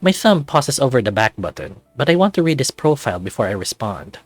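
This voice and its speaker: A man, a channel host, narrating a visual novel in the first person with an expressive, animated storytelling delivery. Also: mid-range voice, brisk, no echo, soft background music.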